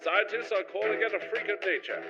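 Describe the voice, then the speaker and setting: A man's voice: sits high, fairly quick, creaky, highs cut off. A man acting a formal local radio newsreader, heard through a radio over a music bed. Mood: upbeat formal. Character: local radio news announcer, formal, chirpy. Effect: radio filter. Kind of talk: radio news announcing.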